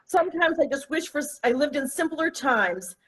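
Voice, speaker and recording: A woman's voice: high-pitched, expressive, moderate pace, a bit bright. A woman playing an exasperated, indignant older wife and mother with dry formality in a table read. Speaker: actor in a virtual table read. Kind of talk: stage comedy-drama table read.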